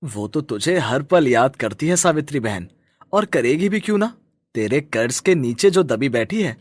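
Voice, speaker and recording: Casting: kind-hearted family man played by a male actor, mid-pitched, insistent, highly expressive, moral storybook drama. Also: normal speed, no room sound, clean studio sound, lightly acted. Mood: sympathetic. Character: kind-hearted working husband, caring brotherly family friend.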